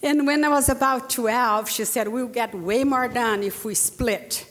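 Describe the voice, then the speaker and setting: A woman's voice: a little high, a little slow, a bit bright. A woman in her sixties from Brazil with a Brazilian Portuguese accent, telling a personal story as a panelist, earnestly, with fillers and restarts. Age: 60s. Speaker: panelist speaker. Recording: long hall reverb.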